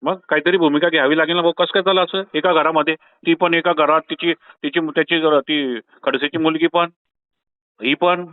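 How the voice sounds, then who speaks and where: Male party worker, agitated, pleading deference, highish voice, dry tinny phone-call recording. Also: steady tone, moderate pace, no echo. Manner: agitated, insistent, deferential to listener. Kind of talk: private phone conversation.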